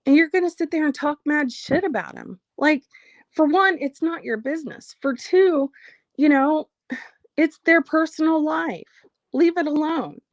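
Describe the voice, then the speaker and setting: Blunt, slightly hoarse woman on a livestream. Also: high-pitched, no room sound, muffled recording. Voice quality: frank, blunt, swears freely. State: losing her voice, a bit hoarse. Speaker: livestream host. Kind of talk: casual livestream chat reading comments.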